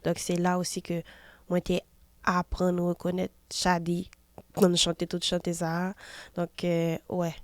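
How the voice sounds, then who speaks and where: A woman's voice: fairly low pitch, completely flat delivery, unhurried, darkish tone, dry recording. A woman in her twenties from Haiti with a Haitian French accent, a singer answering interview questions hesitantly, with many fillers. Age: 20s. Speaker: singer guest. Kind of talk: casual interview.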